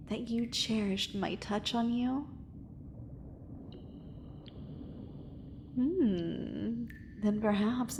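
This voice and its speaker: A woman's voice: medium pitch, dark tone, big-room sound, slight background noise, lightly acted. A woman voicing a possessive, tender mermaid in an ASMR romance roleplay, with formal, flowery, coaxing and intimate speech. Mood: coaxing, teasing. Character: possessive, adoring female angler mermaid, dangerous and tender.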